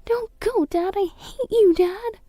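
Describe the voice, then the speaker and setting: Woman voicing an anxious, high-pitched young schoolgirl. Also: slow, warm tone, dry recording, faint music behind. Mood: angry, upset. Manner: urgent, earnest, pleading. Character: anxious, caring young schoolgirl daughter, pleading and scolding.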